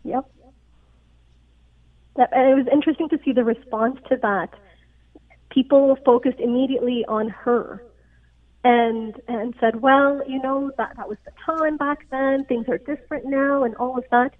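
A woman's voice: high voice, steady tone, moderate pace, no echo. A woman physician, originally from Pakistan, speaking as a radio interview guest in an earnest, explanatory way, often checking in with "right?". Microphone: phone call. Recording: tinny phone line.

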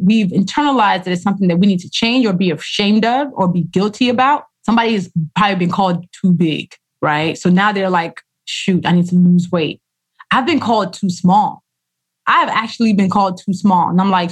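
A woman's voice: medium pitch, breathy edge. A woman author in a casual interview, speaking expressively, acting out quoted voices and using slang. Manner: expressive storyteller who acts out quoted voices, uses slang. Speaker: author guest.

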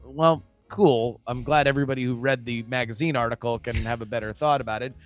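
A man co-hosting a casual political chat podcast. He talks in animated, emphatic bursts and stammers at times. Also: medium pitch, brisk, dry recording, soft background music. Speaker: podcast co-host.

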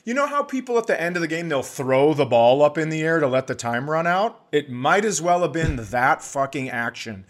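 A man's voice: a little high, sing-song, brisk, few pauses. A man co-hosting a comedy podcast, ranting for laughs in casual chat with blunt, profane exasperation.

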